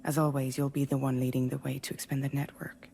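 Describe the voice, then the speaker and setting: Game role: calm, supportive female team leader, very low mellow voice, dry humour. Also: fast talker, gravelly, slight background noise. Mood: calm, businesslike. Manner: calm, matter-of-fact. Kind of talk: video game cutscene acting.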